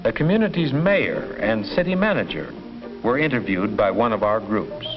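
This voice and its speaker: A man playing an earnest schoolteacher, narrating a 1950s educational film in measured, formal phrases. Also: lowish voice, animated, lightly acted. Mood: proud. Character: earnest male schoolteacher narrating his class's progress. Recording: dull 1950s film soundtrack with music.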